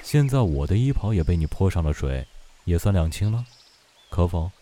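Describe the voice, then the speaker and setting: A man acting as a calm mountain god with a low, mellow voice. He is patient and courteous, speaking in measured, indulgent phrases in an audio drama. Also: a little hiss or hum.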